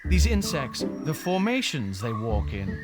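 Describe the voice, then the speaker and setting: A proper banker swept into a fantasy audio drama. His pitch swings in an animated way and his phrasing is eloquent and a little old-fashioned. Music plays prominently beneath him. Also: measured pace. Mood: awed. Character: London banker, grown man awed by a fantastical island. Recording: music under the voice.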